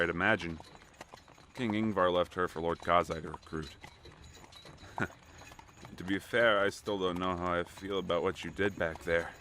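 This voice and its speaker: Guy playing a wry bored fantasy traveler, deep voice, bit of hoofbeat noise behind. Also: a bit bright. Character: bored, wry chained-convoy companion, a worried father. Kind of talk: fantasy audio drama acting. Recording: background noise of horse-drawn carriage.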